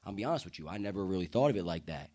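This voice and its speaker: Deep-voiced, earnest young American man. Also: lively intonation, racing, mellow. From United States. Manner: streetwise slang, earnest and emphatic. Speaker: podcast guest. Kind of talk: heartfelt casual conversation.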